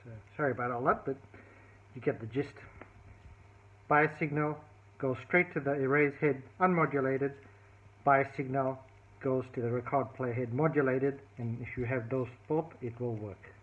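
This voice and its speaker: A man's voice: mid-range voice, fairly even intonation, normal speed. A man casually talking through a hands-on electronics repair demonstration step by step, as a hobbyist showing a fix. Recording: tinny, narrow-band phone-like sound.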